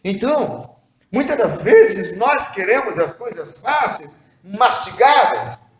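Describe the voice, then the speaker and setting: A man's voice: high voice, very animated, slow, echoey room. A man preaching a devotional sermon as pastor, earnest and asking the listener rhetorical questions. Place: church hall.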